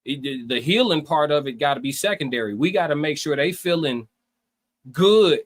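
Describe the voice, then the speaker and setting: A man with a slightly high voice co-hosts a livestream, sharing opinions in a casual way. He builds his points in repetitive lists and keeps checking that listeners follow. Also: animated, normal speed. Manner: repetitive, builds points in lists, checks in with "you know what I'm saying". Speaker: livestream co-host.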